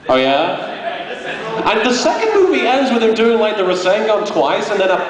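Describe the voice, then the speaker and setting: A man's voice: sits high, very animated, normal speed. A man chatting casually and jokily as he takes questions at a live panel. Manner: jokey, breaks into laughter often. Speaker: panel guest answering audience questions; creator of comedy anime parody series. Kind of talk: casual convention Q&A chat. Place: convention panel room with live audience. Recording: echoey noisy hall with loud crowd.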